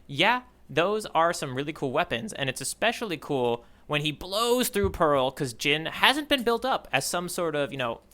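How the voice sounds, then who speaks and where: A man's voice: fairly high pitch, big pitch swings, normal speed, hardly any pauses, sharp tone. A man hosting a podcast in casual, rambling, jokey chat, often correcting himself as he goes. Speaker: podcast host. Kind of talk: casual podcast chat, reading listener letters.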